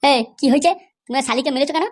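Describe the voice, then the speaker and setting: A performer acts out a blustering husband and father in a comedy cartoon. He is short-tempered and scolding. His voice is oddly high for a man and races along at a very quick clip. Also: natural voice. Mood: annoyed. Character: hot-tempered, foul-mouthed father and husband.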